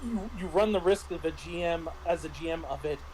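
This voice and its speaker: A man's voice: fairly high pitch, brisk, a little mellow, a little hiss or hum, rough recording. A middle-aged man chatting casually as a game master, stammering and repeating words as he explains things. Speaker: game master reviewing a tabletop RPG. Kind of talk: casual chat.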